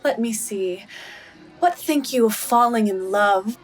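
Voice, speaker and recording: A young woman playing a witty, slightly wistful noblewoman, with measured, wry Shakespearean delivery in a radio play. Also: mid-range voice, soft background music, poor audio. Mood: playful, wry. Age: young adult.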